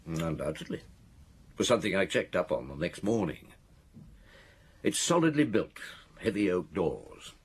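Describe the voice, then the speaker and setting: A seasoned police chief inspector in an audio drama. His low, husky voice moves expressively as he deliberately lays out a case with wry reflection. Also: big pitch swings, full character voice. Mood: reflective. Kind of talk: radio drama dialogue, recounting a case.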